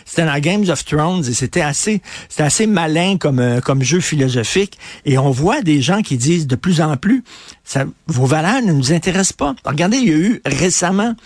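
A man's voice: a little high, lively intonation, normal speed, dry recording, clean studio sound. A Québécois man hosting a radio talk show, giving emphatic opinionated commentary, hammering points with repetition, frequent fillers and restarts. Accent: Québécois French.

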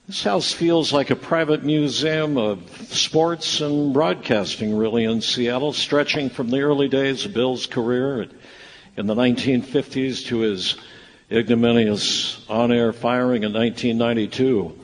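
A man's voice: mid-range voice, a little slow, slight background noise. A man narrating a radio play in a conversational storytelling style. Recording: reverberant hall. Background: music.

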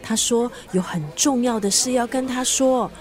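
A woman's voice: fairly high pitch, normal speed, close to an everyday voice. A woman acting in a radio drama as a teasing, bossy family friend who plays matchmaker. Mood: scheming, brisk.

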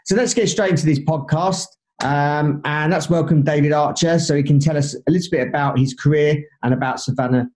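A man hosts an interview podcast, introducing his guest and then asking questions. His voice sits somewhat high for a man. He talks in a chatty, casual way, with a lot of ums and restarts. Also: warm tone. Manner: chatty, filler-laden questions. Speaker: podcast host. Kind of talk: interview hosting.

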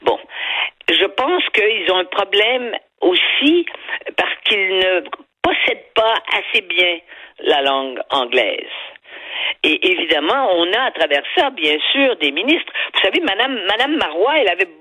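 An older woman with a Quebec French accent and a husky voice, giving opinionated radio commentary in an emphatic, halting, digressive way full of rhetorical asides. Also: mid-range voice, big pitch swings, pushed and bright, no echo, rough recording. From Quebec, Canada. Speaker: columnist and commentator on a talk show.

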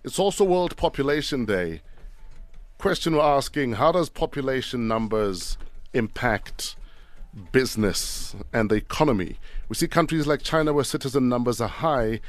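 A man's voice: medium pitch, expressive, normal speed, no room sound. A man hosting a breakfast radio show in the studio, upbeat and asking short, direct questions. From South Africa. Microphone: studio microphone.

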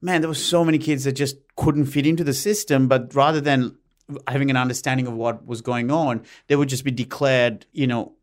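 A man's voice: medium pitch, fairly even intonation, brisk. A man in a mid-range voice hosting a loose conversation. He keeps asking questions and prods the others along with short acknowledgements. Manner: curious, asks lots of questions, frequent "right". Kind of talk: casual chat.

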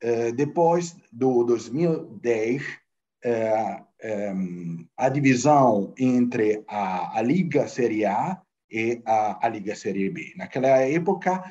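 A middle-aged man with an Italian accent talks slowly, hesitating often and repeating words as he reaches for the right ones. His first language is Italian. The sound is a little dull, like a remote call. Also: mid-range voice, expressive, dark tone. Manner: halting, many fillers and repeated words. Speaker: guest, football club finance director. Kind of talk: interview answers in a second language.

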